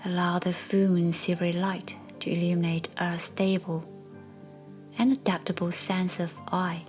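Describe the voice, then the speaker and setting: A woman narrating a guided meditation in a soft, breathy, soothing way, as a meditation guide. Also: lowish voice, little pitch movement, normal speed. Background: soft background music.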